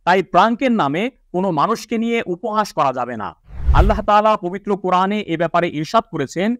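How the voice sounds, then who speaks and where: High-pitched man giving a religious lecture. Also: animated, brisk, no echo. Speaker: presenter of an Islamic educational video. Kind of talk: religious lecture to viewers, citing hadith and scripture.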